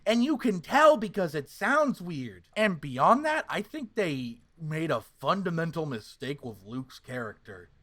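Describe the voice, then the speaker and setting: Animated, higher-voiced man on a podcast. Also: measured pace, few pauses. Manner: emphatic, sweary. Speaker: podcast host. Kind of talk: opinionated casual review chat.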